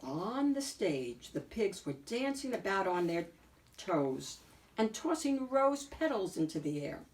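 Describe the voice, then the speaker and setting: A woman reading a picture book aloud as an expressive narrator, phrasing deliberately with emphatic swells. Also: medium pitch, far from the mic. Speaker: picture book reader and host.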